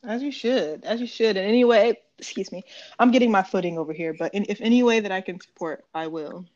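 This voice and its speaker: A woman's voice: mid-range voice, fairly quick, a little mellow. Chatty, laughing podcast host woman. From Oakland, California. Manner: laughs often, playful and chatty. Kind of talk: casual interview chat. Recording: muffled lo-fi audio with faint noise.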